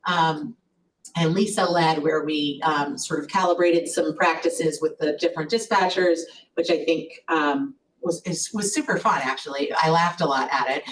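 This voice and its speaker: A woman with a loud, lowish voice gives an upbeat board meeting report, chatty and full of fillers and restarts. She is picked up from a distance in a meeting room, with a little noise underneath. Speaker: collaborative executive director. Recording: distant mic with faint noise.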